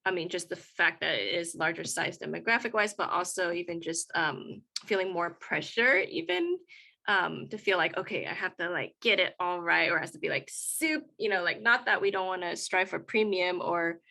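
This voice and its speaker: A woman from the United States talks earnestly on a discussion panel. Her tone is bright and forward, and she stops often on soft fillers as she works through her thoughts. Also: lowish voice, expressive, normal speed, creaky. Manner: earnest, reflective, with frequent fillers.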